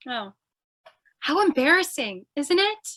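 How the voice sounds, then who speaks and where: Actress doing a ditzy eager lady reporter for old time radio mystery, swoopy high voice, flustered. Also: very animated, takes it slowly, fairly bright tone, heavily acted. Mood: sheepish, chatty. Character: plucky, scatterbrained young woman newspaper reporter. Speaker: voice actor.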